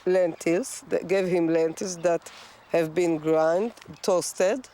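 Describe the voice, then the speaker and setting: A woman with an Israeli accent, a cooking expert, who gives soft, short and slightly hesitant answers. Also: fairly low pitch, steady tone, slow pace, a little hiss or hum. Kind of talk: casual cooking chat.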